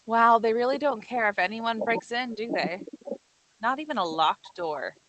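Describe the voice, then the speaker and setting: A woman's voice: a little high, moderate pace, a bit bright, dry recording, natural voice, low-quality recording. A woman playing a sarcastic young woman who puts on bravado, in a horror script table read. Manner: sarcastic, conversational.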